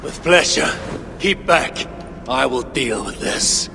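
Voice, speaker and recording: An actor playing a gruff, proud warrior god-king in a video game, speaking in stately, archaic phrases. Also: medium pitch, lively intonation, slow pace, background noise. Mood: indignant, commanding. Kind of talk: video game cutscene acting. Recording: music behind, long reverb.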